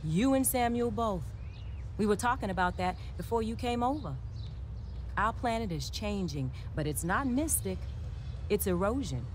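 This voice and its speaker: An actress playing a sensible, skeptical but caring science teacher in a video game, speaking in a measured, matter-of-fact way. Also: female voice, medium pitch, lively intonation, a little mellow, slight background noise, close to an everyday voice. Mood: concerned, matter-of-fact. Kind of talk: video game dialogue acting.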